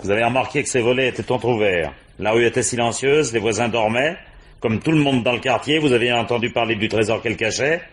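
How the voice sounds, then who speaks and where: An actor playing a shrewd, authoritative police commissioner who questions insistently and methodically in a French radio crime drama. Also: male voice, medium pitch, quick pace, breathy edge, natural voice. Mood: accusing, confident.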